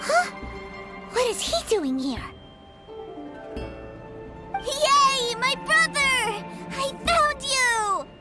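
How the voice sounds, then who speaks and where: An actor voicing an innocent, excitable little boy in a video game, earnest and eager in a childlike way. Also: very high voice, drawn-out words, long pauses, loud music behind the voice, full character voice. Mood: excited. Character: innocent, excitable little boy who adores his brother. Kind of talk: video game character dialogue.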